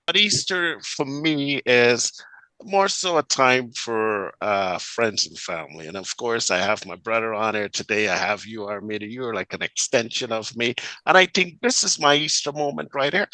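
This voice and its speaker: A male host talking slowly and affectionately about friends and family. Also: medium pitch, animated, bright, forceful tone, low-quality recording. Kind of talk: casual chat.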